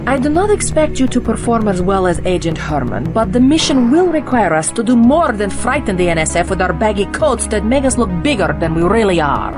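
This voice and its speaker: A woman playing a brisk, self-assured government agent in a video game. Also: mid-range voice, big pitch swings, lightly acted. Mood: cool, sizing up. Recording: music behind the voice. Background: game music.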